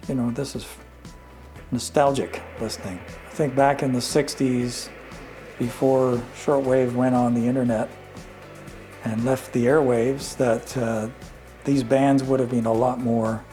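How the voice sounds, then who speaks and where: An older man giving a casual, unhurried product walkthrough, often pausing mid-sentence as he explains. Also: medium pitch, fairly even intonation, mellow, faint music behind. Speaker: vintage radio reviewer.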